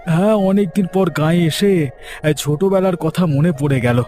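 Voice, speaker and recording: A man voicing an easily impressed city gentleman visiting a village in a cartoon. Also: fairly high pitch, moderate pace, soft background music, natural voice. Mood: pleased, marvelling. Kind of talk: cartoon character dialogue.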